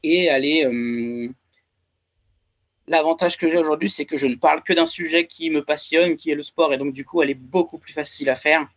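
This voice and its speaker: A man's voice: a little high, steady tone, brisk. A man in his thirties with a French accent, talking as an interview guest and hesitating often with fillers and restarts. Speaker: guest, research and development engineer. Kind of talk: casual interview talk. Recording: dull lo-fi sound.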